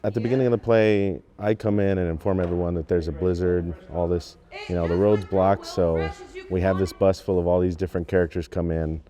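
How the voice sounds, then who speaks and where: A man's voice: sits low, fairly even intonation, fairly quick, warm tone. A teenage male student actor explaining his role in a casual interview. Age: teens. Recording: faint background noise.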